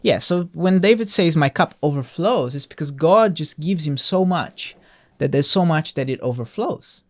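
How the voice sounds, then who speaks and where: Male host for children's scripture reading: highly expressive pitch, patient explanations, question-led. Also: normal speed. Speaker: host of a Bible reading show.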